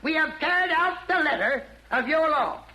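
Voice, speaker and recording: An actor playing an excitable, fanatical veteran demon, blustering and quarrelsome in a 1940s radio style. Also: male voice, very high-pitched, normal speed, full character voice, rough recording. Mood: self-important. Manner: blustering, shouting, theatrical. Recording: old 1940s radio broadcast.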